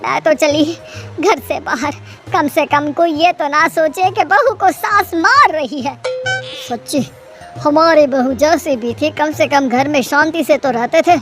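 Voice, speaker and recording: A woman's voice: high-pitched, unhurried, a bit bright, soft background music. A woman voicing a sarcastic, long-suffering middle-aged mother-in-law with a dramatic, complaining manner. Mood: dismayed.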